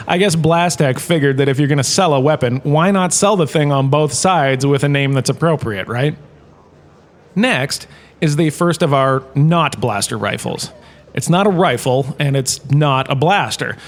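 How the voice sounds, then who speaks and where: A man's voice: medium pitch, natural voice. A man playing a washed-up actor turned lecturer in a comedic audio drama, chatty and wry, tossing asides to his class.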